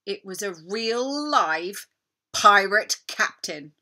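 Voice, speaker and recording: Slow, bright-voiced woman reading a storybook. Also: mid-range voice, lively intonation. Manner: dramatic, deliberate storytelling. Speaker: storybook reader. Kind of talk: expressive children's story narration.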